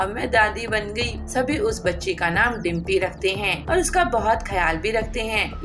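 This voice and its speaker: Woman narrating a children's story over music. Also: fairly low pitch.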